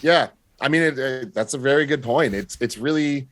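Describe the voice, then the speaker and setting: A man's voice: mid-range voice, moderate pace, a bit bright, no room sound. A man speaking as a guest on a podcast, chatting casually and giving his opinions.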